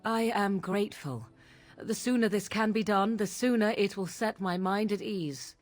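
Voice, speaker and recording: An actress voicing a guarded, imperious young witch in a fantasy video game, speaking formally and with measured phrasing. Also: mid-range voice, natural voice. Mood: calm, grateful. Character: guarded, imperious young witch plotting against her mother.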